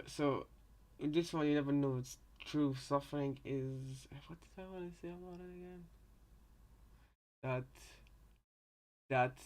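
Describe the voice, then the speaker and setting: A man casually commenting on game voice lines as a co-host, rambling and circling back over his words in a halting way. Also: mid-range voice. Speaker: reaction video co-host.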